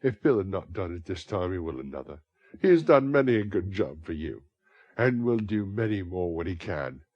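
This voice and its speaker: Young drunk woman put on by a man, bitter, defiant, languid, husky edge. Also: low voice, very animated, normal speed, no room sound, dull audio, voice pushed up. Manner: bitter, languid, slurring hints.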